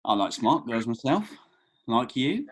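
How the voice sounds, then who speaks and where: A man's voice: mid-range voice, sing-song, measured pace, slightly rough. A man acting an affable, cheeky, slightly hapless young man in a comedy script read, with hesitant, wry colloquial delivery. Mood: sheepish, teasing.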